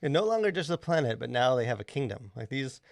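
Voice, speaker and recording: A man's voice: mid-range voice, very animated, quick pace, vocal fry, no echo, studio quality. A man hosting a podcast in casual chat, enthusiastic and full of hesitant fillers. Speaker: podcast host.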